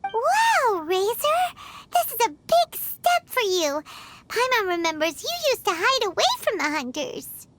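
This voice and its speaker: A woman playing a tiny, childlike, excitable fairy companion in an anime game, chirpy and full of exclamations. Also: very high-pitched, a little slow, slight background noise, full character voice. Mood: excited. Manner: chirpy, exclaiming, refers to herself by name. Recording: music behind the voice.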